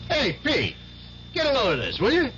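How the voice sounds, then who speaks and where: An actor playing a mocking, hot-tempered gambler, slangy and boisterous, in radio drama style. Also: male voice, extremely high pitch, wildly swooping pitch, normal speed, sharp tone, full character voice. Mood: gloating. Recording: muffled radio drama recording, faint hiss.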